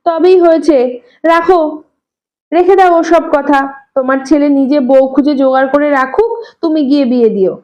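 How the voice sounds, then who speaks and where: Woman acting a snappy, high-voiced overworked daughter. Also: normal speed, a little mellow, natural voice. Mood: exasperated, snapping. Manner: snapping, dismissive. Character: overworked young unmarried daughter, sharp-tongued and exasperated.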